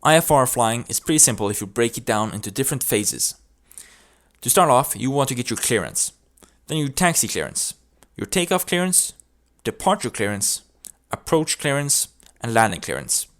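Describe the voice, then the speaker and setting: A man's voice: mid-range voice, animated, moderate pace, fairly bright tone. Man narrating an instructional tutorial. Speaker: tutorial presenter. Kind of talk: instructional video narration with demonstrated radio calls.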